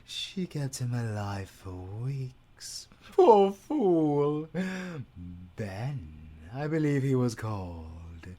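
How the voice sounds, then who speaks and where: A man's voice: mid-range voice, very animated, drawn-out words, dark tone, exaggerated voice. A British man playing a sadistic, gloating male werewolf villain with a silky, menacing delivery that drops into whispers. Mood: gloating menace. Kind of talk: audio roleplay acting.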